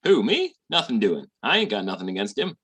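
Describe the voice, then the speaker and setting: A man playing a slangy, squeamish small-time schemer with a raspy voice in a 1940s-style radio comedy. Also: lowish voice, very animated, brisk, a bit bright, full character voice. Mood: flustered.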